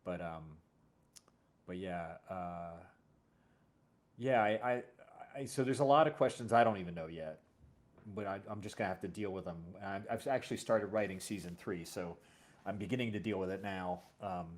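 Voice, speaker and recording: A man hosting a casual conversation as a series creator, thinking aloud in a halting way and often correcting himself. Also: low-pitched. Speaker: host and audio drama series creator. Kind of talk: casual interview chat.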